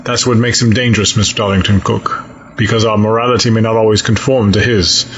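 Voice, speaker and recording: A man playing a stern, coldly logical fleet admiral in an audio drama, speaking in a measured, formal, grave way. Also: on the low side, little pitch movement, fairly bright tone, natural voice. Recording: dull lo-fi sound, audible noise.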